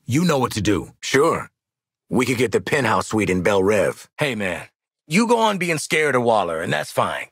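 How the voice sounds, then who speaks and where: An actor playing a cocky, world-weary assassin in a video game, with a raspy American voice and a brash, streetwise, wisecracking manner. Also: male voice, lowish voice, big pitch swings, normal speed, fairly bright tone, no echo, clean studio sound, exaggerated voice. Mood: cocky, wry.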